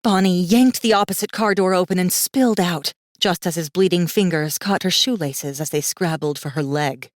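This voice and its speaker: A female audiobook narrator with a fairly low voice tells a fantasy story dramatically. Her delivery is suspenseful and tense. The recording is close and dry. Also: moderate pace, few pauses, no echo.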